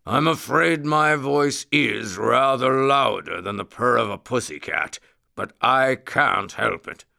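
Storybook lion: huge, polite, apologetic, rumbling and gravelly, drawn-out delivery. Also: male voice, dry recording, clean studio sound, full character voice. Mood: apologetic, courteous. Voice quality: loud, rumbling. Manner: slow, courtly, apologetic. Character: big gentle polite male circus lion.

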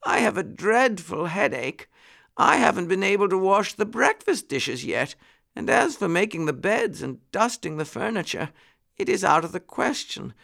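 Man putting on a high, fussy muskrat lady. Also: sing-song, moderate pace, vocal fry, fairly bright tone, heavily acted. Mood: complaining. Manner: plaintive, fussy.

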